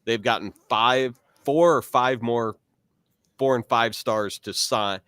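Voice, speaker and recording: A man's voice: medium pitch, slow pace, fairly bright tone, clear, resonant tone, no echo, studio quality. A man co-hosting a casual sports podcast, rambling through his points with restarts. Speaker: podcast co-host, coach.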